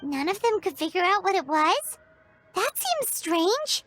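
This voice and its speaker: Very high, squeaky fairy companion. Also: female voice, very animated, a little slow, over music, heavily acted. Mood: concerned. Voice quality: squeaky, childlike. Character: small chirpy childlike fairy companion, eager and caring. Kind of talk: English video game voice acting.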